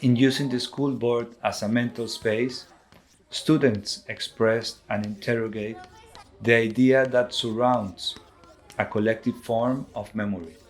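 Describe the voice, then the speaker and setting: A man with a Colombian accent, a native Spanish speaker, giving a formal, measured scripted narration as an artist presenting projects. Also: medium pitch, steady tone, poor audio. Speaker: visual artist.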